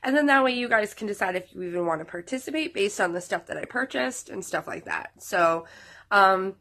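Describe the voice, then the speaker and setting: A woman in her mid-thirties chats casually to her channel's viewers, her voice nasal and worn from being sick. The tone stays bright, and she rambles along with plenty of fillers and restarts. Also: medium pitch, animated, brisk. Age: 30s. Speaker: vlogger.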